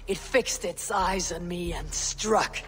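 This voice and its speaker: A woman voicing a proud, defiant young female Viking warrior in a video game, speaking gravely in formal, deliberate phrases. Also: on the low side, fairly bright tone, slight background noise. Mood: troubled, recalling. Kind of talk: dramatic video game cutscene acting.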